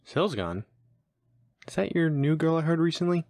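A man playing a gruff but kindly, streetwise neighbor in an audio roleplay, talking in a casual, blunt, slangy way. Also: on the high side, lively intonation, normal speed, darkish tone, dry recording, studio quality. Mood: curious, laid-back.

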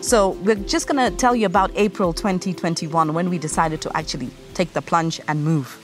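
A woman in her thirties from Kenya talks to camera in a garden, relaxed and chatty, laughing easily. Her voice sits a little low. Clear background music runs under her speech. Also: normal speed. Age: 30s. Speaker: company co-founder. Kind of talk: casual presentation to camera. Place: outdoors in a garden. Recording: music playing behind.

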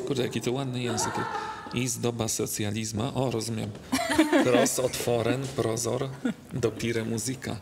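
A man chatting casually, reading aloud haltingly and commenting as he goes. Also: medium pitch, level tone, gravelly. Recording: very noisy, with clicks. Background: loud noise.

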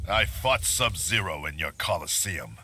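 Proud ninja warrior, very deep, clipped and grave, game effects noise. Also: male voice, expressive, a little slow, pushed and bright, exaggerated voice. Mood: stern. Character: stern, proud, vengeful adult male ninja warrior. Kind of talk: video game character dialogue.

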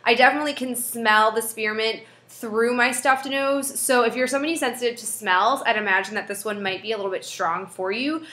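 Young female vlogger, congested head-cold voice, bright and a bit high, echoey small room. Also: steady tone, a little fast, hardly any pauses. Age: young adult. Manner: chatty, friendly, with frequent fillers. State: stuffed-up nose from a head cold. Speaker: beauty vlogger. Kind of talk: casual product review. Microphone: webcam at desk distance.

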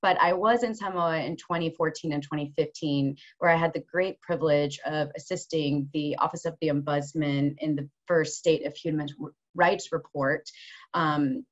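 Low-voiced woman presenting over a video call. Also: fairly even intonation, normal speed. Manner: warm, earnest, with frequent ums and restarts. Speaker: conference presenter, human rights lawyer. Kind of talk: online conference presentation. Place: office room at home base, rain on the roof. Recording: slightly dull video call audio.

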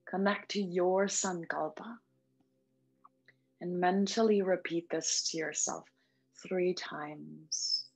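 Low-pitched woman guiding meditation over music. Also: fairly even intonation, vocal fry, husky, poor audio. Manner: gentle, soothing, unhurried with long pauses. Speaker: yoga nidra meditation instructor. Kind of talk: guided meditation. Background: background music.

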